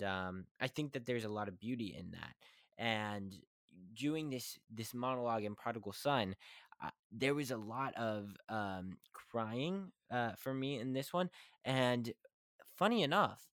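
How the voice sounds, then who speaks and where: A young man hosting a podcast, talking casually about acting and monologues, fast and often stumbling and restarting his sentences. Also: mid-range voice, a bit bright, no echo, clean studio sound. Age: young adult. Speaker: podcast host.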